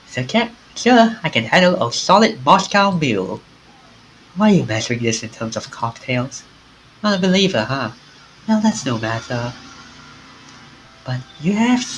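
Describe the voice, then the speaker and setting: A streamer reads a visual novel's lines aloud in a very animated voice. The pitch climbs and drops a lot as the dialogue shifts from speaker to speaker. The delivery sits at a middle pitch overall. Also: background noise.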